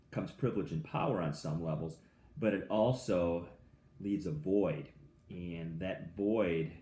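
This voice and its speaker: An American man with a very low voice talks through his thoughts slowly and hesitantly, stopping often with ums and restarting his sentences. He sounds like he is in an echoey room, with faint ambient noise behind him. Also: darkish tone. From United States. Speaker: vlogger. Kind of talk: reflective solo monologue.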